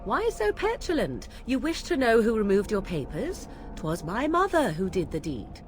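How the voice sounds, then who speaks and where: A woman's voice: a little high, sing-song, moderate pace. A woman playing a haughty, sardonic young witch in a video game, speaking in an arch, formal, mocking way. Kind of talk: video game character acting. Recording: music behind the voice.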